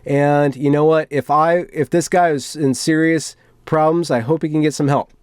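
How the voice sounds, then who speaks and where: A man's voice: fairly high pitch, fairly even intonation, moderate pace, a little mellow, clean tone, dry recording, studio quality. A man giving wry commentary on internet culture as a video host, turning mock-dramatic when he reads things aloud. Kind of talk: commentary on internet culture, reading out a post and reacting.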